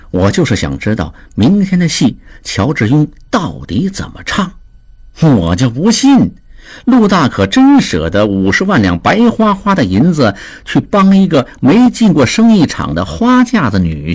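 A man voicing a calm, scheming merchant in an audiobook, speaking in a smooth, slyly jovial, unhurried way. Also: fairly high pitch, full character voice. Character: sly, scheming male merchant, calm and calculating. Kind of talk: audiobook character voice.

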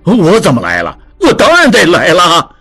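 A man voicing a proud, fussy old father-in-law who talks loud and huffy. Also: a little high, big pitch swings, brisk, husky, soft background music, pitched above the performer's own voice, full character voice. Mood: blustering.

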